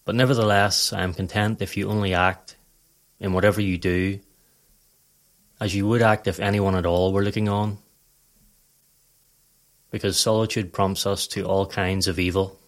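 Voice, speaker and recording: A man narrating a scripted guided meditation in a calm, measured, instructional manner. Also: low voice.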